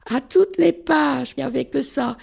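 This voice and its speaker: An older speaker on a discussion panel, talking slowly and deliberately in a reflective way. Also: sits high, creaky, darkish tone.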